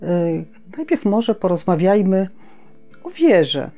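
A middle-aged woman co-hosting a podcast, talking casually and reflectively in halting phrases with frequent pauses. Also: mid-range voice, big pitch swings, mellow, soft background music. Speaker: podcast co-host.

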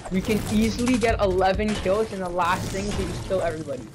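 A man's voice: high voice, fast, over music. A teenage male gamer chatting casually with teammates in slangy, trash-talking bursts. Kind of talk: casual team game chat. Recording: noisy game voice chat with clicks.

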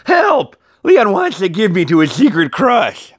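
A storyteller voicing a bossy, scornful paper Valentine heart in a loud, dramatic storybook style. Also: medium pitch, huge pitch swings, unhurried, slightly rough, dry recording, studio quality, pitched above the performer's own voice, full character voice. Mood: panicked.

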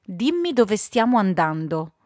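A woman's voice: mid-range voice, sing-song, a little slow, a little mellow, no echo. A protective, determined big tomcat from a storybook, voiced by a woman. He speaks earnestly and urgently, in a dry, close recording.

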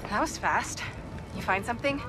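A woman acting a sharp, supportive young reporter in a video game, speaking naturally with an American accent. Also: fairly high pitch, animated, normal speed, close to an everyday voice, poor audio. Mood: curious, supportive. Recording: loud game music behind the voice.

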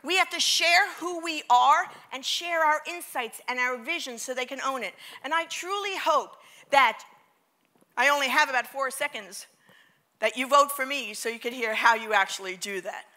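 A middle-aged woman with a New York accent giving a lively motivational talk on a theater stage, drawing the audience into call-and-response. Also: high-pitched, normal speed. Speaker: keynote speaker.

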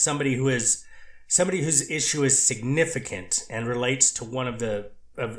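Halting counselor on the stand read by a man, clinical, echoey room. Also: medium pitch, a bit bright, natural voice. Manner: hesitant, restarts, clinical wording. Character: cautious male treatment counselor on the stand. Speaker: witness, treatment counselor. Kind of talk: courtroom transcript reading.

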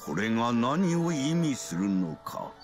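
An actor playing a stately elderly king in a game cutscene, speaking gravely in formal, archaic phrasing. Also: mid-range voice, sing-song, slow pace. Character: solemn, stately elderly kings in council. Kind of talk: dramatic Japanese RPG cutscene acting. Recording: game cutscene with faint music.

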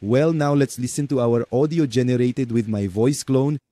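A man with a Portuguese accent presenting a screen-recorded software tutorial, chatty and talking to viewers as folks with lots of okay and right. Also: medium pitch, normal speed, dry recording.

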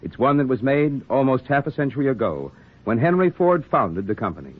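Lively male announcer, old narrow-band radio. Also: medium pitch, fairly quick, slightly rough. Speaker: commercial announcer. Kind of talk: scripted 1940s radio advertising. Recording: muffled, narrow-band 1940s radio recording.

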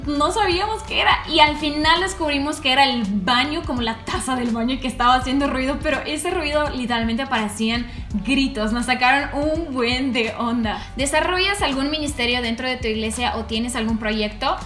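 Young russian woman vlogging, accent, high bright voice, talks with her hands, roomy echo. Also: normal speed, soft background music. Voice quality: animated, gestures widely with her hands. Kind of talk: casual Q&A vlog. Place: small room indoors.

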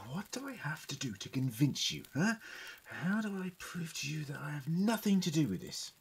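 A woman plays an accused time traveler in an audio drama. The voice is lowish, very raspy and lively, protesting innocence earnestly. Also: moderate pace, exaggerated voice. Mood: exasperated.

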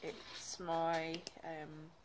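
Slow-talking snuffly woman vlogger. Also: sits low, monotone, a crowd in the background. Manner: rambling, chatty, lots of ums and likes. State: congested with a cold. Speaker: makeup vlogger. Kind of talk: casual chatty vlog. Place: home living room, indoors. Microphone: phone held at arm's length.

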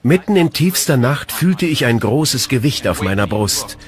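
A man's voice: medium pitch, very animated, a little slow. An actor playing a frightened Puritan man in his thirties, testifying in a dramatised documentary. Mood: haunted, fearful. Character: frightened thirty-two-year-old Puritan witness tormented at night.

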